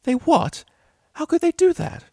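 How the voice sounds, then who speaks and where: A man's voice: extremely high pitch, expressive, unhurried, warm tone, no room sound, clean studio sound, voice pushed up. A man voicing a prim, polite and naive young female cellist pony in a storybook reading, with formal, proper diction. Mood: shocked, concerned.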